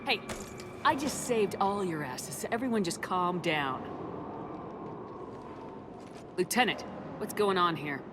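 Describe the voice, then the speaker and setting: A woman's voice: fairly low pitch, animated, moderate pace, noisy background, lightly acted. A woman voicing a tough, commanding human military commander in a video game, firm, blunt and authoritative.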